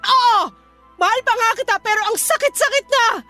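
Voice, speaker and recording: A woman's voice: very high voice, normal speed, sharp tone, soft background music. An actress with a Filipino accent playing a betrayed, furious young woman in a radio drama, scolding with intense anguish. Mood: anguished, angry. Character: betrayed, furious, heartbroken young woman leaving her cheating boyfriend.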